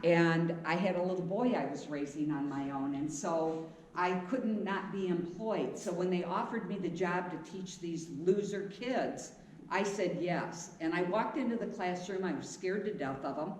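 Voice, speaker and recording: Woman, middle-aged, talks loud and plain, far from the mic in a big echoey room. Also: lowish voice, normal speed. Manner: frank, loud, conversational. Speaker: speaker. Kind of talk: public talk to a live audience. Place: meeting room. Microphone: none, room mic. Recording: roomy hall, voice from far off, faint noise.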